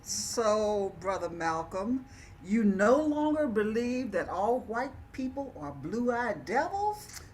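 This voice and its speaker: A woman playing a thoughtful, curious poet in a dramatic reading, speaking in a deliberate, expressive, reflective way. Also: mid-range voice, a little hiss or hum. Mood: thoughtful, questioning. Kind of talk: dramatic reading of historical dialogue.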